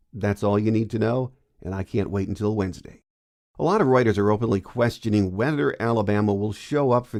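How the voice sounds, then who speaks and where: A man's voice: fairly low pitch, dark tone. A man hosting a podcast, giving scripted sports commentary while congested with a cold. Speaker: podcast host.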